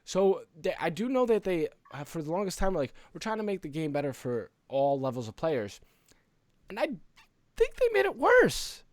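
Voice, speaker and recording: A man's voice: a little high, huge pitch swings, normal speed, dry recording. A man co-hosting a casual gaming podcast, talking in a conversational, opinionated way as he explains his views. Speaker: podcast co-host.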